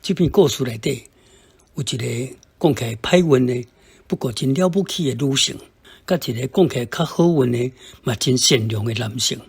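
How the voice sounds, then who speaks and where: A man's voice: mid-range voice, gravelly, breathy edge. A man with a Taiwanese accent giving prepared commentary on a story.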